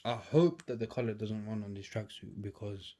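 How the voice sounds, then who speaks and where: A man's voice: fairly low pitch, normal speed, warm tone. A man with a cold, sniffling as he chats casually to camera while unboxing clothes at home, laid-back and slangy. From United Kingdom. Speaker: vlogger. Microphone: camera on a tripod. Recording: clear background noise.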